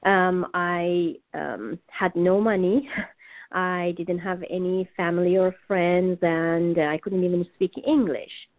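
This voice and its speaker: A middle-aged woman from Iran with an Iranian accent, a native Persian speaker, telling her life story haltingly as an interview guest. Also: fairly low pitch, steady tone. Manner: halting, searching for words. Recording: narrow tinny phone-line sound.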